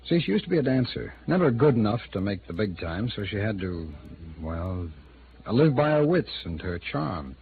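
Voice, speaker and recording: An actor playing a wry, evasive small-town attorney in a 1950s radio drama, speaking conversationally and a little hesitantly with an American accent. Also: male voice, on the low side, big pitch swings, moderate pace, gravelly. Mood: hesitant, defensive. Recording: muffled, noisy old radio drama recording.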